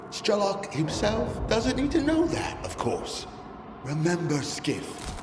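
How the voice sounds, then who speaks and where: A theatrical, wildly swooping zealot. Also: male voice, sits high, background noise. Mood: commanding. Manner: theatrical, preaching, mocking. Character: zealous, taunting male cult leader of a post-apocalyptic zone. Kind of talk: video game cutscene acting. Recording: echoey hall, music behind.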